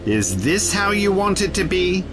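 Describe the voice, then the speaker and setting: An actor playing an eccentric, bookish time-traveller in an audio drama, witty and defiant, with a wry, articulate way of talking. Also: male voice, high voice, sing-song, measured pace, music in the background.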